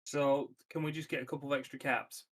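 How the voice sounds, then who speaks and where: A man's voice: medium pitch, little pitch movement, brisk. A man talking casually as a player in a tabletop roleplaying game. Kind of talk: casual chat.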